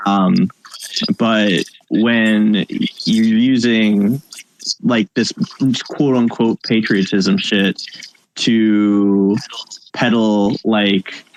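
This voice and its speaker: A man calling into an online debate, speaking haltingly with many fillers. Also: lowish voice, slight background noise, low-quality recording. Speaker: caller in a debate.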